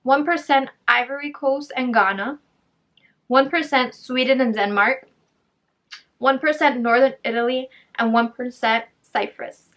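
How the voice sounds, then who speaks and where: A young woman from Puerto Rico, talking in a chatty, upbeat way as she shares news with her viewers, with fillers and quick self-corrections. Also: high voice, steady tone, fairly bright tone. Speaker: vlogger. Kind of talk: casual vlog.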